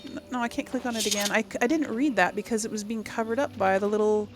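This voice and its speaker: A woman doing a casual let's play, reading dialogue in character in a musing, unhurried way. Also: fairly high pitch, fairly even intonation, background noise. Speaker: video game role-player.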